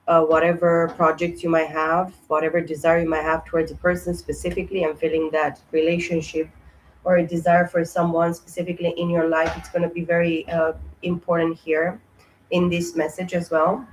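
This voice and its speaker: A woman with a hoarse, fading voice giving a casual tarot reading, talking haltingly with many fillers and restarts. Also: sits low, slight background noise, poor audio. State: hoarse, losing her voice. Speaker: tarot reader.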